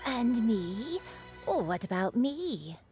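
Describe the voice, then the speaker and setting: Casting: forgetful elderly grandmother in a game, gentle and wandering, highish lilting pitch, unhurried. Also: very animated, heavily acted. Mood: vague, innocent. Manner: slow, dreamy, wandering. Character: gentle, forgetful old granny with youthful looks. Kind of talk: video game voice acting. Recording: music behind the voice.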